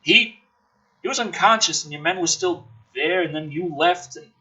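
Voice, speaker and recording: Sarcastic guy reading a script out loud, high bright voice, kinda raspy, theatrical. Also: moderate pace, dull audio. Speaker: host reading and riffing on a film script.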